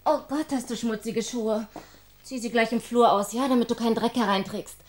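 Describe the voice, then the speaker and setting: A woman's voice: a little high, fairly even intonation, moderate pace, close to an everyday voice. A woman playing a busy, practical mother in a radio drama, talking naturally in a motherly, instructive way. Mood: fussing. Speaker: actor in a radio play.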